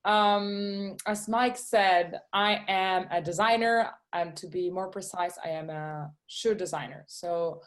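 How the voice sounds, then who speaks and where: A woman's voice: on the low side, slow, few pauses. Woman, guest designer talk to kids, eager, video call. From Venezuela. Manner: eager, often checks in with "okay?". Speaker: guest speaker, shoe designer. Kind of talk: presentation to schoolchildren.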